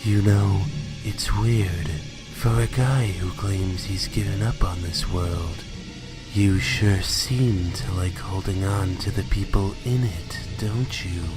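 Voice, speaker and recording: A man voicing a spiteful, sneering talking flower villain in a comic dub, with mocking, drawn-out taunts. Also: sits low. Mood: sly taunting. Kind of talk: fan comic dub acting. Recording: loud music under the voice. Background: loud music.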